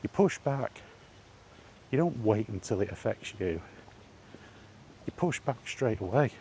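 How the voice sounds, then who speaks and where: A man talks in a low, mellow voice, lecturing bluntly and with emphasis. It is an opinion rant from a gaming vlogger, recorded while he walks outdoors, with faint noise behind him. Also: expressive, unhurried. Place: outdoors by allotments.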